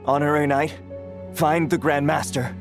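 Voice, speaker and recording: Blunt, battle-worn knight, higher-pitched. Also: male voice, steady tone, measured pace, over music. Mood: urgent, blunt. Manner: blunt, urgent. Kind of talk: video game voice acting.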